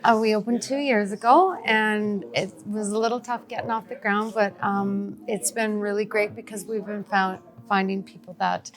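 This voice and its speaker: A woman giving earnest, somewhat halting casual answers as an interview guest. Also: mid-range voice, steady tone. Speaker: gallery owner and artistic director, interview guest. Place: busy art gallery reception. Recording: busy crowd chatter behind.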